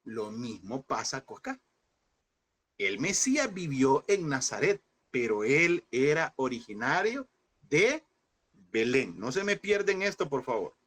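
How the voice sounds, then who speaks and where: A man teaching a Bible class, asking his listeners questions, repeating them and slowing down on key points. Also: mid-range voice, very animated, fairly bright tone. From El Salvador. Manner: addresses listeners as brothers, asks and repeats questions; slows and stresses key words.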